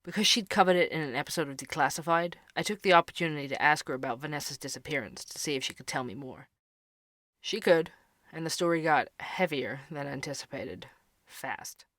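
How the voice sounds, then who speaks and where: A man's voice: a little high, a little fast, a bit bright, no room sound, studio quality. An actor playing an earnest, curious young man who hosts and narrates an investigative podcast in an audio drama. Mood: narrating.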